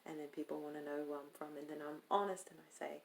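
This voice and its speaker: A woman from California vlogging casually while speaking in a deliberately put-on accent, rambling and hesitant with many pauses. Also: low-pitched, steady tone, creaky, slight background noise. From Stockton, California. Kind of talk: casual video chat.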